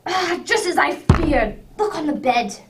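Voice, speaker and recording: A woman's voice: sits high, animated, a little slow, noisy background. A woman playing a commanding male detective in a radio drama, grave and declarative as he deduces. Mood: grave, intent. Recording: distant microphone.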